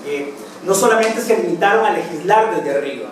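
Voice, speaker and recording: A man from Costa Rica giving a political conference talk with a sore throat, measured and full of fillers and restarts. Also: sits high, expressive. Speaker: conference speaker. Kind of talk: political lecture. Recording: echoey hall, distant mic, clear noise.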